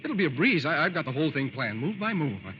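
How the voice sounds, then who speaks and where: A man's voice: fairly high pitch, big pitch swings, fast. An actor playing a nervous, sheepish young newlywed man in old-time radio style, hesitant and stammering. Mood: reassuring, evasive. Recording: muffled, faintly noisy vintage radio recording.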